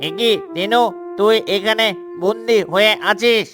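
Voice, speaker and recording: A man's voice: high-pitched, steady tone, a little slow, over music. A man voicing a worried, protective father duck in a cartoon, speaking earnestly. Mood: urgent. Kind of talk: cartoon dialogue.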